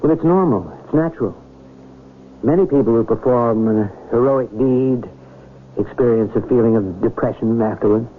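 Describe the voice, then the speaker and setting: An actor playing an earnest, persuasive small-town reverend, measured and oratorical, in an old radio drama. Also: male voice, mid-range voice, close to an everyday voice. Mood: earnest, philosophical. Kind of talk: 1980 radio drama acting. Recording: noisy, tinny old radio broadcast recording.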